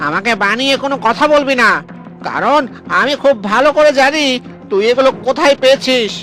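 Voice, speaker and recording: A man's voice: extremely high pitch, animated, a little fast, pushed and bright, full character voice. A man voicing a scheming, threatening royal minister in a fairy-tale cartoon, with a commanding manner. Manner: menacing, commanding. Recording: background music under the voice.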